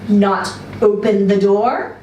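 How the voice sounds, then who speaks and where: A woman voicing a stern, disapproving female therapist in a story reading, with slow, deliberate, clipped delivery. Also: mid-range voice, fairly even intonation, dark tone, dull audio, lightly acted. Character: stern, frowning woman therapist prescribing misery. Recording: roomy, distant mic, faint noise.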